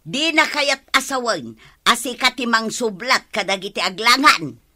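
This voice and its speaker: Outspoken housewife, Ilocano accent, radio comedy drama, bright forceful voice, raised pitch. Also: female voice, expressive, moderate pace, heavily acted. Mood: scolding.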